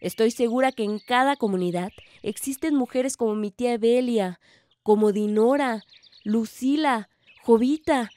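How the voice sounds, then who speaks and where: A woman's voice: a little high, normal speed, mellow, close to an everyday voice. A woman playing a hopeful young villager who narrates her story earnestly in a radio drama. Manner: earnest, reflective. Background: music.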